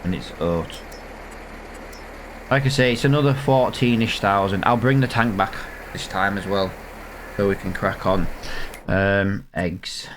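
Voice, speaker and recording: A man's voice: fairly low pitch, a little slow, long pauses, slight background noise, low-quality recording. A man with a congested, sniffly voice, casually thinking aloud with lots of fillers while playing a farming game. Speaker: gaming channel host. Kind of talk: casual let's-play commentary.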